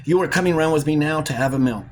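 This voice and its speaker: A man voicing a gruff, kindly old male bookseller in an audiobook, blunt and avuncular. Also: fairly high pitch, fast, slight background noise, voice pushed up, lightly acted. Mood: insistent, gruff.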